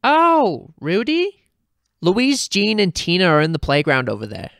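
A man putting on a shrill, excitable cartoon mom. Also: no room sound, studio quality, exaggerated voice. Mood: excited, cheery. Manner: exuberant, drawn-out, singsong exclamations. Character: loud excitable middle-aged mother, bubbly and bossy.

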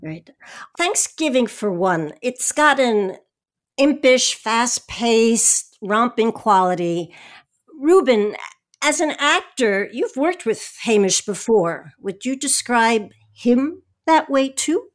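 A woman hosting an interview, putting measured questions to her guests. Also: fairly high pitch, big pitch swings. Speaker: interview host. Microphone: remote recording.

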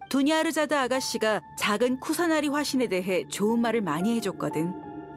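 A woman's voice: a little high, close to an everyday voice. An actress voicing a confident, shrewd young female desert mercenary in a video game, cool and teasing in manner. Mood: earnest. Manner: cool, teasing, self-assured. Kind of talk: video game voice acting, story dialogue. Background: background music.

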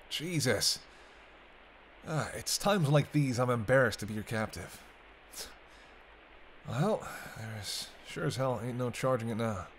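Guy acting as a snarky teasing male vampire, medium pitch, sweary roleplay banter, bit of storm noise in the background. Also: fairly bright tone. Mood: taunting. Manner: sarcastic, casual, sweary banter. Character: teasing, sardonic male vampire held captive by a hunter. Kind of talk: roleplay audio drama, intimate banter. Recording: clear background ambience noise.

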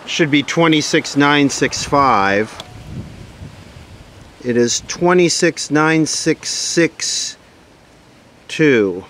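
A man giving casual, matter-of-fact commentary on a hands-on demonstration, unhurried, recorded outdoors. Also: a little high, expressive. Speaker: video host. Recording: loud wind and radio static noise. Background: wind noise, hissing radio.

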